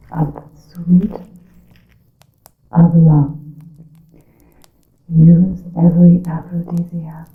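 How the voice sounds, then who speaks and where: A woman playing a secretive, solemn witch in an ASMR roleplay, whispering slowly in archaic formal words, with a creaky breathy voice. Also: on the low side. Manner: slow, deliberate, archaic formal wording. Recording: muffled narrow-band sound with room echo.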